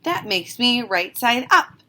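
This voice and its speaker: A woman's voice: fairly high pitch, big pitch swings, slow pace, bright, forceful tone, voice pushed up, exaggerated voice. A woman voicing a naive, earnest young female bat in a storybook, speaking in exclamations. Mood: indignant.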